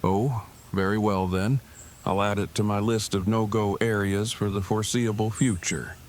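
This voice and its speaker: A man acts a timid, bookish fellow in an audio drama. His lowish voice is stiff and wordy, overly formal and socially awkward, with faint insect ambience behind it. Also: steady tone, moderate pace, slight background noise, natural voice. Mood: hesitant, apologetic.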